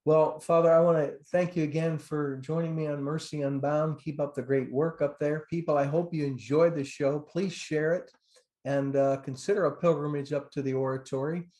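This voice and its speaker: Man, podcast host, fairly high pitch, friendly, brief affirmations. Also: fairly even intonation, normal speed, warm tone. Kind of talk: interview hosting.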